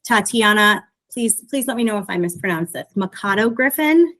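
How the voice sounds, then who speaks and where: A woman's voice: medium pitch, expressive, normal speed, fairly bright tone. A woman moderating an online panel of authors, speaking in a polite and appreciative way. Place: video call.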